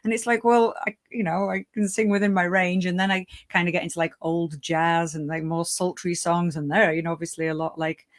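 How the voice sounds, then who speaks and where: A woman's voice: fairly low pitch, fairly even intonation, a little fast, few pauses. Chatty British woman hosting a livestream. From Britain. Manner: chatty, laughs often, many fillers. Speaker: livestream show host. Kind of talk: casual chat.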